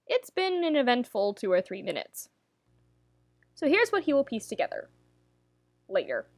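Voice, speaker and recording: A woman's voice: a little high, huge pitch swings, moderate pace, no echo, soft background music. Woman narrating a story, close and dry. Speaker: podfic narrator reading fan fiction. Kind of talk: scripted story narration with dramatic pauses.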